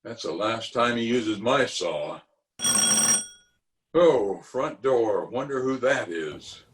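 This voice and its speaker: A man's voice: medium pitch, lively intonation, slow pace, background noise. A man playing a blustery, grumbling but kindly middle-aged uncle in 1940s-style radio comedy, hesitant and pompous.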